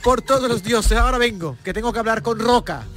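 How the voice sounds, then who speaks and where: An actor playing a childishly excitable Roman emperor in comic radio theatre. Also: male voice, extremely high pitch, fairly quick. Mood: weary complaint.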